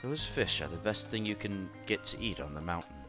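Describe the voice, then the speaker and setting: Low-pitched man reading over music. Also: lively intonation, a little fast. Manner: breaks off to react conversationally. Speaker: video game streamer reading a visual novel aloud. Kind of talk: dramatic reading with casual asides. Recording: music under the voice. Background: background game music.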